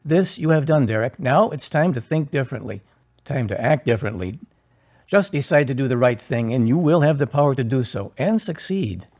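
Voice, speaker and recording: A man playing a calm, earnest parish priest in an audio drama, counseling in a measured, instructive way. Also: medium pitch. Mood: earnest, encouraging.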